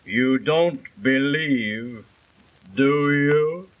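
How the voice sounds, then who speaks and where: An actor in a 1930s radio drama voicing the mocking spirit of superstition, taunting and laughing slowly. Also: male voice, medium pitch. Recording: muffled old radio recording, distant.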